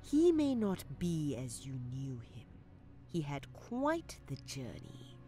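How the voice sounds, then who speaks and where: Woman acting a sinister all-knowing mystic lady, really slow n deliberate, swooping intonation, calm menace, audio drama. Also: low-pitched, faint music behind, heavily acted. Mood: cool, sly. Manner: slow, deliberate, coolly ominous.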